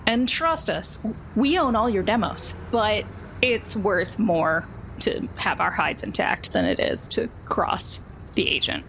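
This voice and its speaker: A woman voicing a tough male guard who is a fan but quietly menacing, in a tabletop roleplaying game. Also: mid-range voice, lively intonation, slow, vocal fry, a little hiss or hum, heavily acted, rough recording. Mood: guarded, firm. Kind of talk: game master voicing non-player characters.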